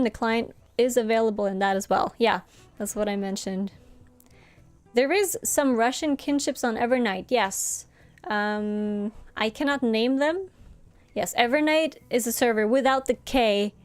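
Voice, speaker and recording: A friendly young woman, a native Norwegian speaker, chats with her viewers in a relaxed live-stream style. Her voice sits a little high and close to the mic, with a dry sound. Faint game music plays underneath. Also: dry recording. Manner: chatty and friendly, laughs often. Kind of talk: casual live-stream chat, reading chat aloud.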